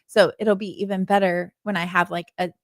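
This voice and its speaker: A woman's voice: lowish voice, little pitch movement, fairly quick, dry recording, clean studio sound. A woman with a Southern US accent hosting a casual tech podcast, talking in a chatty, upbeat way full of little fillers. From Nashville, southern US. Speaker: podcast host.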